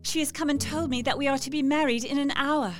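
A woman's voice: high voice, fairly even intonation, fast talker, lightly acted. An actress playing a devoted young Victorian woman reading out her letter in an audio drama, earnest, tender and confiding. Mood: solemn joy. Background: music.

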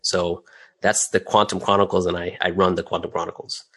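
A man with a fairly low voice talks as a guest in a relaxed interview. He explains his work conversationally, one step at a time, often checking that the listener follows. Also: a little fast. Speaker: interview guest, audio drama creator and voice actor.

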